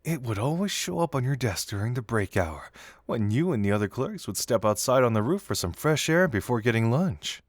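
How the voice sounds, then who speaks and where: A man's voice: medium pitch, sing-song, a little fast, no room sound, studio quality. Man playing a playful detective with swooping intonation. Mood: teasing, intrigued. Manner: teasing, theatrical, musing hums and soft chuckles. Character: playful, teasing young male detective agency colleague. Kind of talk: audio roleplay acting addressed to the listener.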